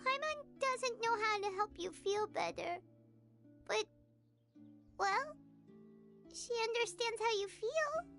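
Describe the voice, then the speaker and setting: A woman voicing a small, childlike fairy girl companion in an anime video game, squeaky and earnest in a caring way. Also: expressive, slow, pauses often, bright, forceful tone, full character voice. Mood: gentle, sympathetic. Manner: speaks of herself in third person, earnest. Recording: background music under dialogue.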